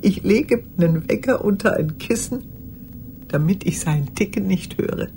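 An older woman acting in a radio drama monologue as a lonely old woman who speaks formally, haltingly and insistently. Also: medium pitch, lively intonation, warm tone, a little hiss or hum. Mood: urgent, apologetic. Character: lonely old woman confiding memories to a young lawyer.

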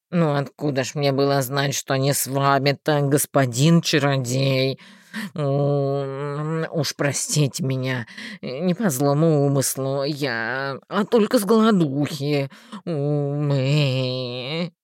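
Brief: a woman doing a whiny, nasal werewolf peasant, low and drawling, groveling, dry close sound. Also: a little mellow, no echo. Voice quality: nasal, whining.

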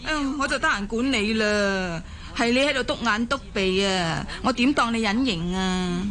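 A woman's voice: medium pitch, normal speed, a bit bright, natural voice. A woman acting a nagging, scornful Hong Kong housewife in a Cantonese radio drama, scolding and sarcastic. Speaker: voice actor in an audio drama.

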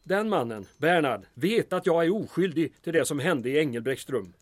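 A man acting a beleaguered male mayor in an audio drama, with a slightly high voice. He sounds put-upon and exasperated, with a dry, ironic turn of phrase. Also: expressive. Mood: troubled, wry. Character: beleaguered, wry male small-town mayor protesting his innocence.

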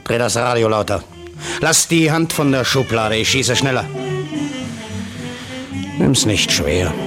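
A man's voice: mid-range voice, animated, slow. An actor playing a terse, menacing hitman who gives curt orders in a 1960s German radio play. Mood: cold menace. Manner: curt, commanding. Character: terse, menacing male hitman, cold and unmoved. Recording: 1960s radio, loud music behind.

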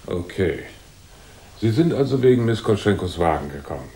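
A man's voice: low-pitched, big pitch swings, normal speed, mellow. An actor playing a big, bossy gunman in a noir radio drama, curt, commanding and threatening. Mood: menacing, curt.

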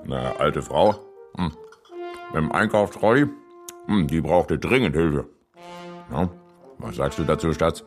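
Proud, self-important hero dad, low voice, children's radio drama with light music underneath. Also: male voice, expressive, a little slow, pauses often, exaggerated voice. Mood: boastful, gruff. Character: proud, boastful superhero father, middle-sized ego. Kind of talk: children's radio play acting.

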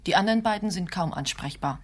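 An earnest woman working in a clinic in a radio drama. Her voice is on the low side, with a cautious, matter-of-fact delivery. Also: expressive, quick pace. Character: cautious, concerned female clinic carer, matter-of-fact and naive. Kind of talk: German-language radio play acting.

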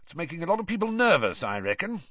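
A gruff old wizard professor, acted out by a male audiobook reader, muttering and growling in curt, wary bursts. The voice is husky and swings widely in pitch. It sounds dry, as in a storybook reading. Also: big pitch swings, fast talker, pushed and bright, dry recording, muffled recording, heavily acted. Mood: grim, guarded. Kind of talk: audiobook character voice.

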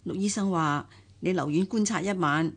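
Low-voiced kindly Cantonese housemaid. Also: female voice, normal speed, breathy edge. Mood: concerned, soothing. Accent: Hong Kong Cantonese. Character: kindly, fussing household maid caring for her mistress. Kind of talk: Hong Kong radio drama acting.

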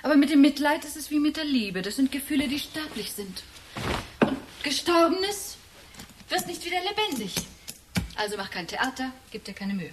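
A woman's voice: sits high, animated, normal speed, noisy background. An actress playing a scornful woman leaving her older partner in a German radio drama, dismissive and pointed. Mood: cool, scornful.

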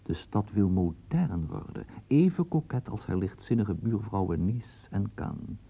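A man's voice: lowish voice, very animated, unhurried, creaky, breathy edge. A man narrating a radio play and guiding the listener through the scene like a storyteller. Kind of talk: scripted radio drama narration. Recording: tinny lo-fi old radio recording.

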